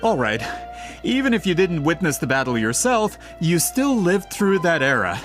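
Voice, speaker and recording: An actor playing a courteous, earnest investigator in a video game, speaking diplomatically. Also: male voice, fairly high pitch, sing-song, normal speed, music in the background. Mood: polite, earnest. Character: polite, earnest, eager male investigator. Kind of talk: video game character voice acting.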